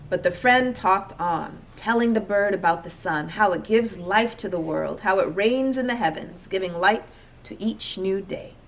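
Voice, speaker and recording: A woman reading a children's storybook aloud as an expressive narrator. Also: mid-range voice, moderate pace, a little hiss or hum, rough recording. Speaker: storybook reader.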